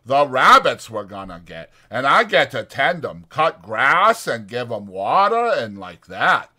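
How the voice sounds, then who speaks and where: A man voicing a big, slow-witted, trusting and anxious ranch hand in a dramatized audiobook, talking loudly, simply and with stubborn earnestness. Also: medium pitch, wildly swooping pitch, pitched above the performer's own voice, heavily acted. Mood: eager.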